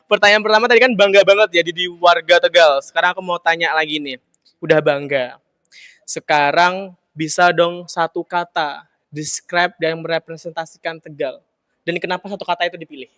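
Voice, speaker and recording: A man's voice: on the high side, steady tone, moderate pace, sharp tone, no room sound. A young man from Solo hosting a casual interview, upbeat and chatty, with a habit of saying "oke, siap". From Solo, Central Java. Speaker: interviewer host.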